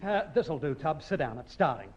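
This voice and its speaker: A man's voice: on the high side, sing-song, normal speed, a little mellow. A man playing an easygoing, chummy sidekick in a 1950s radio comedy. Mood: chummy, impressed. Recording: muffled old radio recording.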